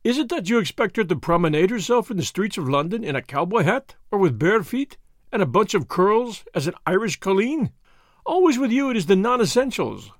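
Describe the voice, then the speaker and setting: A male narrator puts on a fussy little Belgian detective with a French accent, pompous and sure of his own genius. The voice sits high and swings freely in pitch. It is recorded close and dry, with no room sound. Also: very animated, a little fast, no room sound, full character voice. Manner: pompous, precise, self-satisfied. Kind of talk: audiobook character voice.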